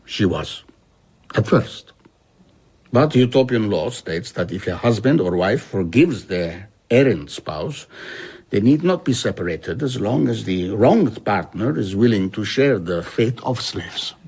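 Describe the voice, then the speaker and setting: Old traveller telling his story in a radio play, low husky voice, reflective and measured. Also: lively intonation, a little mellow, heavily acted. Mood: earnest. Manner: measured, explanatory. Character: older traveller recounting his past enslavement, reflective. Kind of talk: audio drama dialogue, storytelling.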